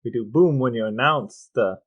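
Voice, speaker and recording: A man's voice: medium pitch, normal speed, lo-fi sound. A man co-hosting a casual comedy podcast, asking questions in a droll, mock-serious way. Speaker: podcast co-host and interviewer.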